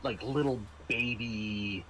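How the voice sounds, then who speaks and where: A man working as game master, improvising horror narration in a halting, conversational way. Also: medium pitch, fairly even intonation, a bit bright, slight background noise, rough recording.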